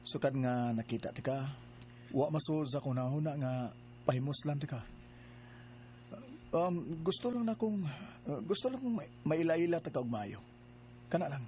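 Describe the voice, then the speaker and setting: A man's voice: fairly high pitch, animated, moderate pace, pauses often, warm tone, slight background noise, close to an everyday voice. An actor playing an earnest young man in a radio drama, courting with a hesitant, pleading manner.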